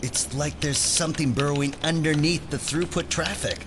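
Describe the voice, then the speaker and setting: An actor playing a nervous, sarcastic, geeky American hippie hacker in an audio drama. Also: male voice, a little high, fairly even intonation, normal speed, background noise, close to an everyday voice. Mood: uneasy.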